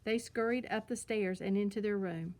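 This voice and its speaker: A woman's voice: mid-range voice, moderate pace, darkish tone. A woman narrating a children's storybook as a read-aloud storyteller.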